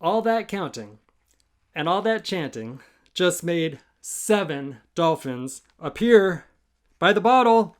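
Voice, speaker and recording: A man who is a children's author reading a rhyming story aloud with an expressive, chanting, sing-song delivery. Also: high voice, takes it slowly. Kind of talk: expressive musical storytime narration in rhyme.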